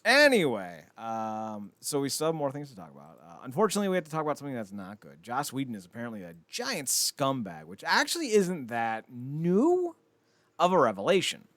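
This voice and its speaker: Animated man, rambling and opinionated. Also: mid-range voice, a little fast, no echo. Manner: rambling, opinionated, casually profane. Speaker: podcast host. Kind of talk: casual podcast chat about films and entertainment news.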